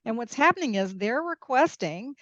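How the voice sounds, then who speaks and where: Higher-voiced woman podcast guest, dull audio. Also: lively intonation, normal speed, fairly bright tone, no room sound. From Peru and Mexico. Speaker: podcast guest, international corporate lawyer. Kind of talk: conversational interview commentary.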